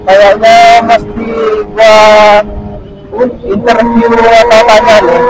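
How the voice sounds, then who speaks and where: A man chatting casually and slangily to viewers while riding a motorcycle. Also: high-pitched. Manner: slangy, addresses viewers as friends. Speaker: motovlogger. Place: outdoors on a motorcycle at night. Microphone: intercom headset fed into action camera. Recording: helmet intercom with loud engine and wind noise. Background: motorcycle engine, passing traffic, wind.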